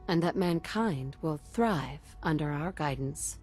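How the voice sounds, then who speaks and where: A diplomatic video game heroine with a somewhat low voice. She sounds composed, reassuring and controlled, with faint music behind her. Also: lively intonation, slow pace, a little mellow. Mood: solemn, proclaiming. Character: composed, diplomatic heroine, incoming director of a secret institute.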